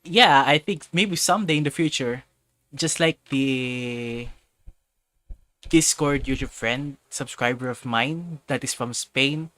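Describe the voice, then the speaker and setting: A man's voice: medium pitch, takes it slowly, bright, forceful tone, no room sound. A man from the Philippines hosting a casual livestream, rambling, correcting himself and circling back to his point. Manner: frequent restarts and self-corrections. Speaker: livestream host. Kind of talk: casual livestream chat, answering viewers.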